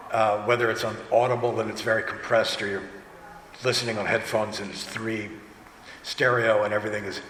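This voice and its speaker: A man talking casually as a director and actor on a convention panel, chatty and halting, often restarting his thoughts. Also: mid-range voice, fairly bright tone. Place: large convention panel room. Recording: echoey hall, distant, noisy room. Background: room ambience and noise.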